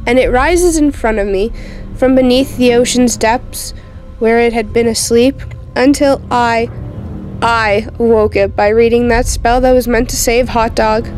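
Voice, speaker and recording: A frightened young witch girl, played by an actress recounts her doom in a highish voice. She narrates with youthful drama, as in a radio play, over a music bed. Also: darkish tone, lightly acted. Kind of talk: first-person narration in an audio drama.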